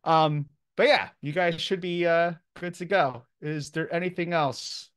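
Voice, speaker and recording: A man's voice: a little high, measured pace, smooth, dry recording, studio quality. A man speaking as a college professor, giving an informal, encouraging lecture to his class in casual, slangy language.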